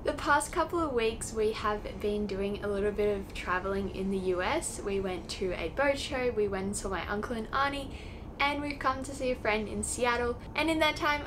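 A teenage girl vlogging to the camera from a sailboat deck, chatty and upbeat. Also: a little high. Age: teens. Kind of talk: casual vlog to camera. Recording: outdoor ambience noise.

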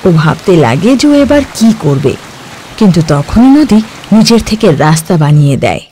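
A man's voice: sits high, sing-song, moderate pace, darkish tone, a little hiss or hum. Man narrating a cartoon folk tale. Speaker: storyteller narrator. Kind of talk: scripted storybook narration.

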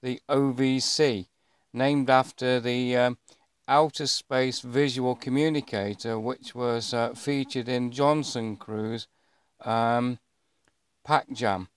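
Middle-aged male vlogger, unhurried and halting, smooth clean voice, casual show-and-tell to a handheld camera. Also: mid-range voice, fairly even intonation, no room sound. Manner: halting, rambling, thinking aloud. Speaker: vlogger showing his own gear. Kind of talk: casual unboxing commentary to camera. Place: indoors, bedroom, filming over a bed. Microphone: handheld camera or phone held by the speaker.

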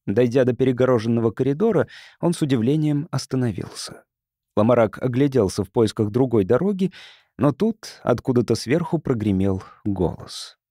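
A man's voice: medium pitch, expressive, normal speed, dark tone, dry recording, studio quality. A man reading science fiction as a scripted audiobook narrator.

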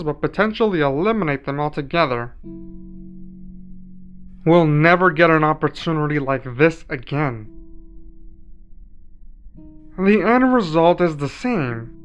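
A man's voice: on the high side, a bit bright, clean tone. A man playing a scheming villain lieutenant in an audio roleplay, polite and persuasive toward his master. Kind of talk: roleplay ASMR villain acting. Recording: slightly dull sound, music behind.

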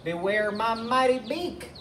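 A woman's voice: fairly high pitch, animated, measured pace, voice pushed up. A woman voicing a small, brave, defiant hen in a children's storybook, with perky retorts. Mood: plucky, defiant. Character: small, brave, plucky female chicken, unafraid and defiant. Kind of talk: children's storybook read-aloud. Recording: echoey, noisy, with birdsong.

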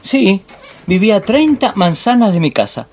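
An actor playing a pompous, bullying master chef and dreamy film snob in a radio play, theatrical. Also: male voice, sits high, sing-song, moderate pace, darkish tone, full character voice. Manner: pompous, digressive, theatrical monologuing.

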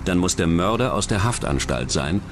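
A man's voice: deep voice, lively intonation, moderate pace, noisy background. A man reading a scripted true-crime documentary narration as its narrator. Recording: music bed under the voice. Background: background music.

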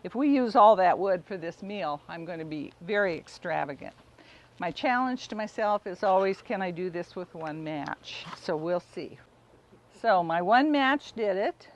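A middle-aged woman giving a casual, unhurried cooking demonstration, explaining informally as she works outdoors. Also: lowish voice, lively intonation. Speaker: home cook demonstrating a recipe. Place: outdoors in a backyard garden.

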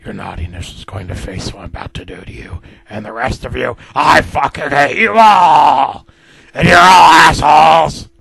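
A man's voice: fairly high pitch, drawn-out words, vocal fry, hardly any pauses, slightly rough, dry recording, heavily acted. A man voicing a furious, foul-mouthed grown cartoon grump who rants insults at the top of his lungs. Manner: shouting, ranting insults.

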